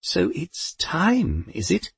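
A man's voice: on the high side, huge pitch swings, slow pace, vocal fry, breathy edge, no room sound, pitched above the performer's own voice, exaggerated voice. A man voicing a feisty, foul-mouthed older aunt who grumbles and demands answers in an audiobook. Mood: indignant. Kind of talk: audiobook character voice.

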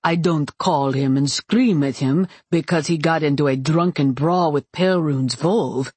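Woman playing male priest of a dark god, audiobook, deep husky register, wry, soothing, dryly humorous, placating. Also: a little slow, no room sound. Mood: soothing, reasoning. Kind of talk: audiobook character acting.

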